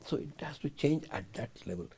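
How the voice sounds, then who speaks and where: A man's voice: fairly high pitch, expressive, fairly quick, warm tone, slightly rough. An older man, a guest scientist in a studio interview, arguing emphatically and asking rhetorical questions.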